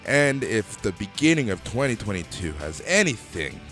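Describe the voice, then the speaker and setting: Chatty lively podcast host man. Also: lowish voice, normal speed, faint music behind. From Canada. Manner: upbeat, chatty, laughs easily. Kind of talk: casual podcast chat.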